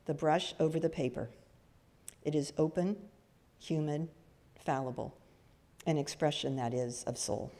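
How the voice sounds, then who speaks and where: An older woman reciting a reading at a lectern in a church hall, with careful, deliberate phrasing. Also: deep voice, dark tone. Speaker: reader at a church service. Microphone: gooseneck lectern microphone. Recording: long hall reverb.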